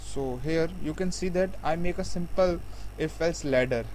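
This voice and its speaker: A man giving a programming tutorial, explaining step by step in a halting, repetitive way. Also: on the high side, a little mellow. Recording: faint background noise.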